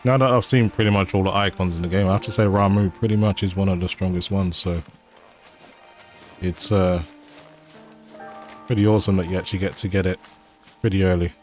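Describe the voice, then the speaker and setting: A man giving casual, quick and enthusiastic commentary over his gameplay video. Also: sits low, steady tone, soft background music. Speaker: gaming video creator.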